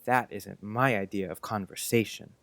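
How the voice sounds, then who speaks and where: A man's voice: on the low side, fairly even intonation, normal speed, fairly bright tone, dry recording. A man playing an earnest, polite, precocious young schoolboy in an audiobook, speaking in careful old-fashioned phrases. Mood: eager, confiding. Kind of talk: audiobook character acting.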